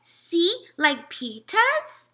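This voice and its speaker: Woman playing a little toddler boy, high-pitched, shrill, stubborn, hollered repeated demands, storybook character. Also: wildly swooping pitch, bright, forceful tone, exaggerated voice. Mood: pleased. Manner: short repeated demands, drawn-out words.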